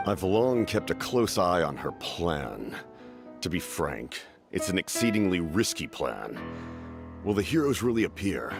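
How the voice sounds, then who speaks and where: An actor playing a grave, calculating commander in a video game, speaking in a formal, measured, authoritative manner. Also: male voice, low-pitched, very animated, vocal fry, heavily acted. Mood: grave, urgent. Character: grave, calculating male commander with a secret proposal. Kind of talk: dramatic video game voice acting. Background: music.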